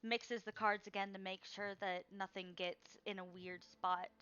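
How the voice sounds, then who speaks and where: A woman game master narrating a tabletop fantasy game in a measured, hushed descriptive way, on a slightly dull microphone. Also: mid-range voice, level tone, smooth.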